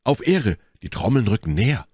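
A man's voice: medium pitch, animated, moderate pace, dry recording, clean studio sound, pitched above the performer's own voice, close to an everyday voice. A man voicing an eager, spirited young musketeer in an audiobook. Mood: eager, excited. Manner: spirited, exclamatory. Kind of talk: audiobook character dialogue.

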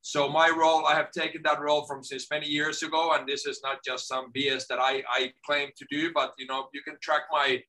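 A middle-aged man from Finland, a guest on a video call from his home office, talking in a lively way and often repeating words as he starts a sentence. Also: fairly high pitch, fairly quick, few pauses, low-quality recording. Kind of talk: casual video-call interview.

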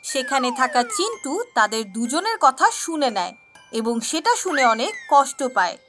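Bright, high-pitched woman storyteller. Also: big pitch swings, normal speed, soft background music. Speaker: narrator. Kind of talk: scripted children's story narration.

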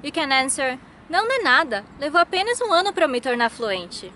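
A young woman, teaching phrases in a bright, expressive way outdoors in a grassy field. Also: high voice, fast talker, a little hiss or hum. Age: young adult. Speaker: language teacher. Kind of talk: scripted lesson presentation.

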